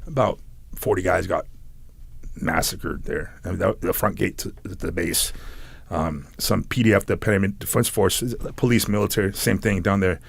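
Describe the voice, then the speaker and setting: A low, gravelly man in his forties, from San Diego, talks through his stories as a podcast guest. He rambles and laughs between phrases, close to the mic in a dry room. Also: moderate pace, no room sound. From San Diego, United States. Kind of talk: casual storytelling.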